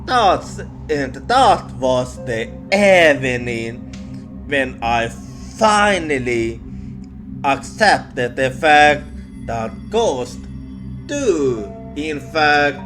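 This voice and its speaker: A man who is a non-native English speaker tells a ghost story in ASMR style, halting and repeating words. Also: fairly high pitch, a bit bright. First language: not English. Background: music.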